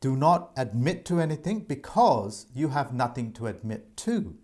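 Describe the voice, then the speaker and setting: A man's voice: medium pitch, sing-song, moderate pace, a little mellow. A man giving viewers earnest, repetitive advice in an informal monologue, addressing them as folks. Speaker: presenter giving debt advice.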